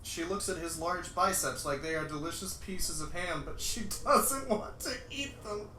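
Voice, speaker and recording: A man's voice: high voice, moderate pace. A man giving an amused comic dramatic reading of a screenplay as a livestreamer. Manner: amused, often breaking into laughter. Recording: roomy, distant mic, faint noise.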